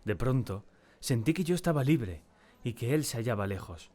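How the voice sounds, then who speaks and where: A man acting a tormented, terrified diarist in a dramatic first-person audiobook narration, with a solemn and deliberate, theatrical delivery. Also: medium pitch, expressive, dark tone, natural voice. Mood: uneasy, brooding. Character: tormented, terrified man confiding in his diary.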